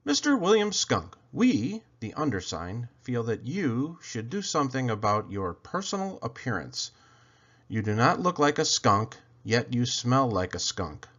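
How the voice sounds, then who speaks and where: A man's voice: mid-range voice, very animated, a little slow, a bit bright, highs cut off. A man reading as formal, desperate forest predators making a pompous complaint in a children's storybook. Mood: formal, aggrieved. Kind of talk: read-aloud children's storybook.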